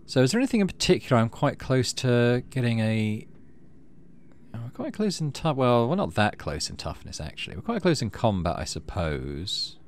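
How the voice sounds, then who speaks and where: Man, very varied pitch, some background noise. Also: big pitch swings, normal speed. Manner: easygoing, thinking aloud. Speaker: gaming video host. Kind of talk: casual game playthrough, reading text aloud.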